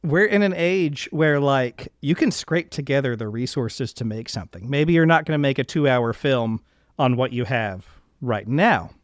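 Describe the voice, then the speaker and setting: A man's voice: mid-range voice, normal speed, dry recording, studio quality. A man hosting a podcast interview, speaking casually and with enthusiasm.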